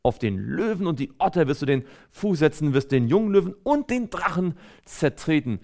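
A man's voice: fairly high pitch, big pitch swings, moderate pace, vocal fry, warm tone. A man preaching a sermon in an earnest, exhortative way, reading scripture aloud and stressing key phrases.

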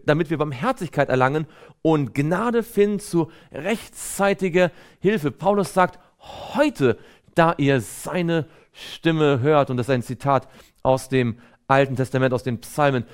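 A man's voice: on the high side, clean tone. A man preaching a sermon in an earnest, exhortative way, reading scripture aloud and stressing key phrases.

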